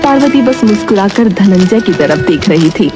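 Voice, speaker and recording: A female narrator tells a scripted village folk tale for a cartoon. Her voice sits at an average pitch for a woman, and music plays clearly underneath. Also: animated, moderate pace. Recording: music behind the voice.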